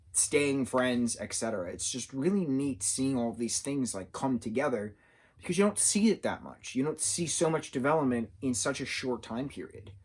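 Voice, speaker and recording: A man of about thirty giving a casual, unscripted TV review, rambling and halting as he searches for his words. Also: mid-range voice. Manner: rambling, halting, loses his train of thought. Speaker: TV show reviewer.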